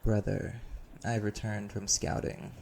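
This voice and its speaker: A man's voice: on the low side, little pitch movement, unhurried, vocal fry. A man playing a dutiful, subdued younger vampire brother and spy in an audio roleplay, formal and deferential. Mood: reporting. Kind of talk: audio roleplay drama acting. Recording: very noisy amateur recording.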